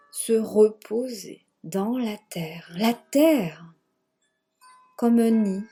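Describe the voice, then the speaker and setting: A woman leading a guided yoga nidra relaxation in a gentle, measured manner, leaving long pauses and repeating phrases. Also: medium pitch, breathy edge. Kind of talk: guided meditation, slow spoken relaxation.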